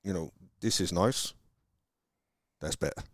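A man from Wales with a Welsh accent, chatting casually about his sales career in a halting, rambling way, with many restarts. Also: sits low, no echo, clean studio sound. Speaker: car salesman guest. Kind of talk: casual conversation.

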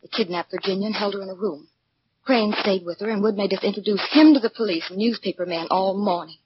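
A woman's voice: mid-range voice, fast, lightly acted. An actress playing an anxious, loyal young woman, earnest and urgent, in 1940s radio acting. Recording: dull, noisy 1940s radio recording with clicks.

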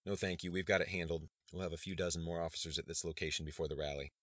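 Man as a patient, deep-voiced male police officer. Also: level tone, fairly bright tone, dry recording, clean studio sound. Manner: patient, measured. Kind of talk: acted audiobook dialogue.

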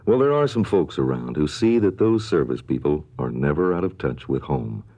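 Very deep, husky male announcer. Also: sing-song, moderate pace, warm tone. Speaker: public service announcer. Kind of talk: scripted radio appeal.

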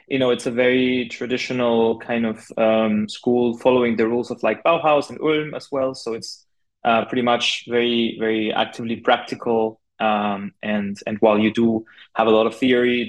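A man from Argentina speaking as a podcast guest in a casual, conversational way, informal and halting, with plenty of filler words. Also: fairly low pitch, level tone. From Argentina (Buenos Aires). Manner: informal, halting, peppered with 'like' and 'you know'. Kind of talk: casual conversational interview, telling own career story.

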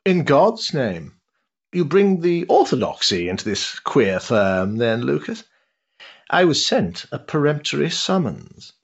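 An actor playing an urbane, cynical older aristocrat in a period stage drama, pompous and sardonic in ornate phrasing. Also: male voice, medium pitch, sing-song, a little slow, vocal fry, slightly rough, dull audio, heavily acted. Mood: ironic, amused.

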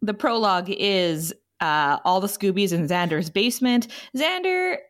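A woman's voice: medium pitch, expressive, unhurried. A woman hosting a podcast and narrating a table read in a casual, chatty style.

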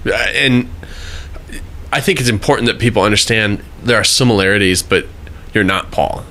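A man in his thirties hosting a casual interview podcast, friendly and chatty with quick asides. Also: on the low side, pushed and bright. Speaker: podcast host.

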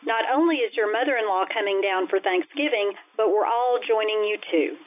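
A woman playing a wry, quick-witted schoolteacher in old-time radio comedy style, dry and wisecracking as she placates her boss. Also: medium pitch, lightly acted. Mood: dry, protesting. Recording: tinny, noisy old-time radio sound.

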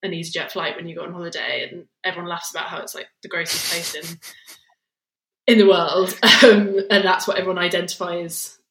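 A woman's voice: fairly low pitch, level tone, fairly quick, fairly bright tone, slight background noise. A young woman talking as a guest in a casual interview, reflective and meandering, a little self-deprecating. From England, near Luton. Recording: roomy echoey recording.

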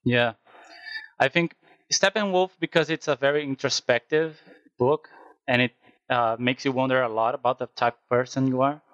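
A man with a Brazilian accent talks casually as a podcast guest, pausing to find his words. His voice sits at an ordinary pitch and sounds close and dry. The mic sounds somewhat dull and lo-fi. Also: fairly bright tone, no echo. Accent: Brazilian Portuguese. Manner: hesitant, searching for words with fillers. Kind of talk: casual chat.

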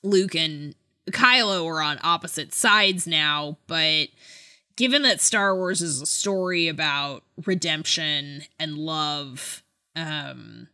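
A woman co-hosting a podcast in casual chat, animated and conversational, often hedging as she talks. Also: deep voice, slow pace, smooth. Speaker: podcast co-host. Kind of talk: casual chat about books and films.

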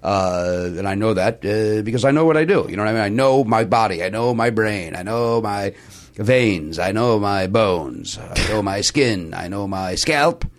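A man hosting a casual comedy podcast, hoarse and raspy from a sore throat, bantering playfully and mocking himself. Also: lowish voice, lively intonation, normal speed. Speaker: podcast host.

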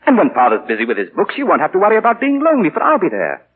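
A man's voice: sits high, huge pitch swings, rapid-fire, slightly rough, exaggerated voice. An actor playing a smug, insinuating young man with mocking, falsely courteous manners in 1940s radio drama. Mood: smug, taunting. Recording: muffled, scratchy 1940 radio broadcast.

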